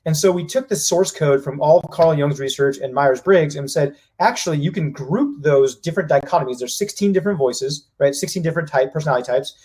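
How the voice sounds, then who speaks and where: Brief: husky male exec, rapid energetic delivery, voices people's reactions. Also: on the high side. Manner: fast, energetic, acts out what people say. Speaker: company president. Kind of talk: business live-stream discussion.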